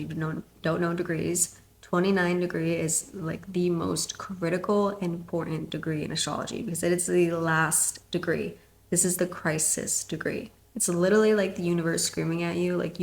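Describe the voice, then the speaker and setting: A woman with a stuffy, sick-sounding voice talking casually and emphatically to her online audience as a host, in an informal style. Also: lowish voice. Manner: informal, emphatic, with frequent "like" and tag questions. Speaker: astrology YouTuber and podcast host. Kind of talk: casual direct-to-audience vlog talk. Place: apartment.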